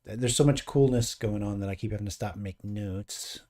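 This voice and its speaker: A man's voice: fairly low pitch, fairly quick, dark tone. A man in his late forties co-hosting a live stream, chatting casually and reading viewer comments aloud in a rambling, joking way. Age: 40s. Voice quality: graying beard mentioned, easygoing. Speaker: co-host of a live miniature painting stream.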